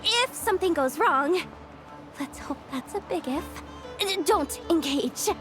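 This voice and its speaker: A woman's voice: very high-pitched, lively intonation, a little slow. A woman voicing a frazzled, busy young expo organizer in a video game, talking in casual slang with stammers and sighs. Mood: anxious, warning. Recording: music behind the voice.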